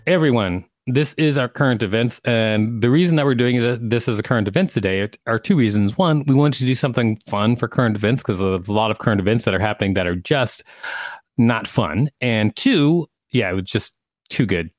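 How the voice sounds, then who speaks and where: A man's voice: mid-range voice, fairly quick, no room sound. A man hosting a podcast, reading aloud and chatting casually. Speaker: podcast host. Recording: music playing behind the voice.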